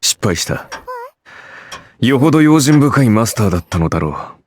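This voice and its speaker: Man acting a sardonic anime spirit warrior, animated pitch. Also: a crowd in the background, close to an everyday voice. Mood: regretful, composed. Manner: measured, taunting, formal. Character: cool, sardonic male servant spirit, archer warrior. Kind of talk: Japanese anime game voice acting.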